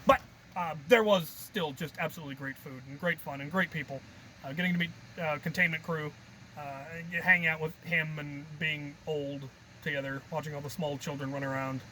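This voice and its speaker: A man with a fairly high, very bright voice hosts a casual hobby vlog. He rambles and thinks aloud, joking at his own expense. A faint hum of background noise sits behind him. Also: steady tone, brisk, low-quality recording. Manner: self-deprecating, jokey, hesitant thinking aloud. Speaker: hobby video creator and host.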